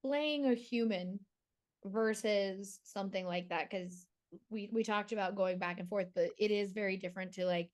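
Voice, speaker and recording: A woman's voice: mid-range voice, normal speed. Enthusiastic woman doing a chatty interview. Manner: enthusiastic, fan-like. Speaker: entertainment interviewer.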